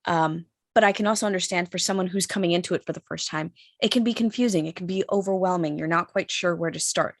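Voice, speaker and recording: A woman on a discussion panel, giving measured advice as an audio drama creator. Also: lowish voice, no echo, studio quality.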